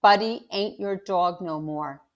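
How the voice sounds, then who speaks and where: A woman reading aloud and voicing a tired, stern but gentle working father, who talks slowly and calmly. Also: mid-range voice, lightly acted. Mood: weary, serious.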